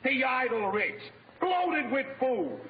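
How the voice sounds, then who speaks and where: An actor playing a belligerent park soapbox orator, declaiming and sneering in old-time radio comedy style. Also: male voice, extremely high pitch, very animated, slow, over a noisy crowd, exaggerated voice. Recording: muffled old radio broadcast, faint audience.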